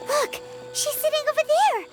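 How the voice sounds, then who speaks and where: A tiny, excitable fairy sidekick from a video game. The voice is squeaky, childlike and extremely high, bouncing around in pitch, with loud tinkling music behind it. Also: female voice, moderate pace. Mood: urgent, excited. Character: small childlike fairy companion, excitable and eager.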